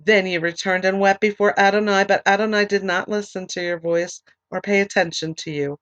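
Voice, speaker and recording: A woman teaching a Bible study, reading scripture aloud and then explaining it with many ums and restarts, her voice a little hoarse. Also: mid-range voice, fairly even intonation, fairly quick, a bit bright, dry recording. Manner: reads verses steadily, then talks loosely with many ums and restarts. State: losing her voice, a bit hoarse. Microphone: online recorder, computer setup.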